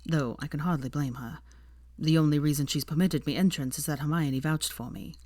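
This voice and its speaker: A woman's voice: low-pitched, fairly even intonation, fairly quick, warm tone, dry recording. A woman voicing a haughty, proud young wizard man in an audiobook, with a dry drawl. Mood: resigned. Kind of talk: audiobook character voice.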